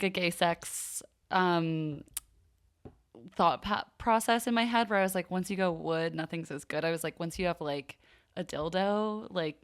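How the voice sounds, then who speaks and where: Enthusiastic woman chatting casually. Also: fairly low pitch. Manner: uses "like" constantly, enthusiastic. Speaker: podcast co-host. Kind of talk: casual TV recap chat.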